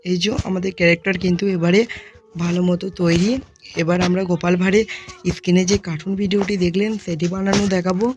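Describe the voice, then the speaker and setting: A tutorial host narrating software steps one by one, speaking informally to viewers as friends. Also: medium pitch, little pitch movement, fast talker.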